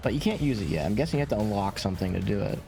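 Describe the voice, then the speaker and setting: A man's voice: on the low side, lively intonation, rapid-fire, dark tone. Casual male gamer talking over game music. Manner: conversational, thinking aloud. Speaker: gaming channel host. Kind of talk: casual gameplay commentary. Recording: game music clearly behind voice.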